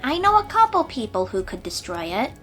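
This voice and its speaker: A woman's voice: on the high side, big pitch swings, a little fast, fairly bright tone. A woman playing a confident, eager young sea-dweller warrior in a fantasy audio roleplay, speaking expressively and informally to the listener. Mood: earnest, determined. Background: music.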